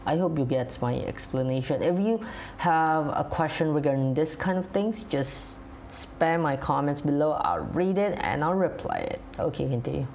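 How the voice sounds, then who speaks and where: A man's voice: fairly high pitch, normal speed, creaky, darkish tone, a little hiss or hum. A young man with an Indonesian accent, whose first language is Indonesian, explaining in English with many fillers and restarts in a reaction video. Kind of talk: casual explaining to camera.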